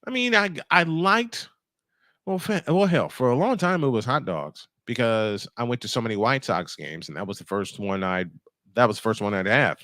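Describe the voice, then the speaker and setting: A man's voice: medium pitch, animated, moderate pace, sharp tone, no room sound, clean studio sound. A man doing live baseball play-by-play on a stream, mixing in casual chat and rambling as he thinks aloud.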